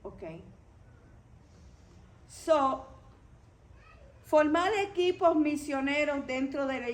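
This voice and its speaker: A woman's voice: fairly high pitch, a little hiss or hum. A woman from Puerto Rico with a Puerto Rican accent, teaching a Bible study to a church group in a didactic way, stressing key points.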